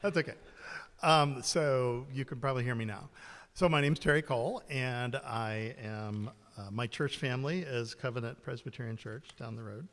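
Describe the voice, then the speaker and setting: A man in his sixties, founder of a ministry, speaking to a church group in a loud, friendly, self-deprecating way. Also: medium pitch, normal speed. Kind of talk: casual talk to a church group. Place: church meeting room. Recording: roomy hall reverb.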